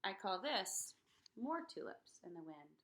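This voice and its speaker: A woman reading a children's picture book aloud in an expressive, dramatic way for young listeners. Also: medium pitch, measured pace, a little hiss or hum. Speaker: read-aloud storyteller.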